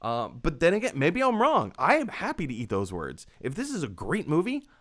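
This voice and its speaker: A man's voice: a little high, wildly swooping pitch, normal speed, fairly bright tone. A man hosting a live discussion show, giving casual, opinionated movie commentary in a conversational way, with emphatic repeats and self-corrections.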